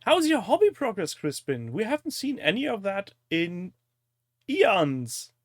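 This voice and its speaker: A man's voice: high-pitched, wildly swooping pitch, unhurried, dry recording, studio quality. A man from Germany with a German accent, a native German speaker, hosting a casual live-stream chat in a jokey, teasing manner. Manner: jokey, crude humour, teasing.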